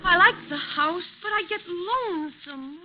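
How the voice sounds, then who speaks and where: An actress playing a lonesome, restless, childlike young wife in an old radio drama, with folksy speech and cooing baby talk. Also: female voice, very high voice, lively intonation, measured pace. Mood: wistful, lonesome. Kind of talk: 1940s radio drama acting. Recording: noisy, dull old radio recording.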